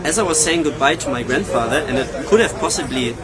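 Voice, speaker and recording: A man's voice: on the high side, expressive, fast talker. A man, speaking thoughtfully and searching for words as he gives personal testimony in an interview. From Germany. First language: German. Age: 30s. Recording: loud crowd noise outdoors.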